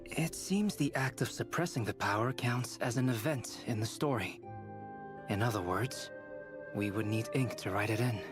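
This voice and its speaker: An actor playing a composed young male scholar in a video game, measured, formal and precise as he explains. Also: medium pitch, over music, close to an everyday voice. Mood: thoughtful, composed.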